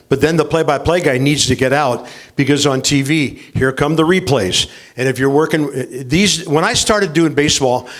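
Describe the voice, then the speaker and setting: A veteran sportscaster, a man in his sixties with a medium-pitched voice. He talks in a panel discussion, laying out his opinions step by step. His delivery is measured and explanatory. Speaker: veteran TV baseball play-by-play announcer.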